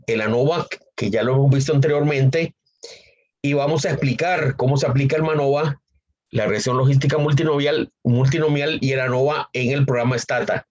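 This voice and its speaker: Male statistics lecturer, hoarse with a cold, mid-range voice, filler-heavy delivery, dull lo-fi sound. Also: mid-range voice. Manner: unhurried, with frequent fillers and repetitions. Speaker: university statistics professor. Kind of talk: online lecture.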